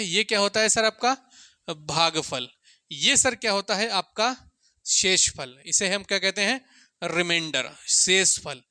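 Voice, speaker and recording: A man's voice: high-pitched, pushed and bright, no room sound, studio quality. A man teaching maths informally to students, asking questions and answering them himself, repeating key points. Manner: addresses listeners often as sir and friends. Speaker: maths teacher for exam coaching. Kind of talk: informal classroom-style lesson, talking to students.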